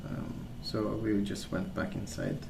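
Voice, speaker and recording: Soft-spoken, gravelly low-voiced man, ASMR. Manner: calm, gentle, unhurried storytelling. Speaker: ASMR video creator. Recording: roomy sound with clear background noise.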